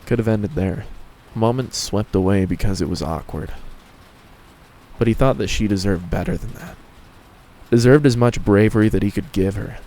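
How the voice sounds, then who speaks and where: A man's voice: on the low side, moderate pace, mellow, poor audio. A man reading scripted fan fiction narration as the storyteller.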